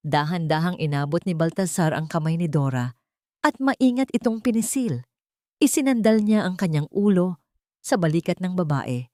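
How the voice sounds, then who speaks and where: A woman narrating a scripted romantic drama story in a measured, sentimental way. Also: on the low side, mellow, no room sound, studio quality.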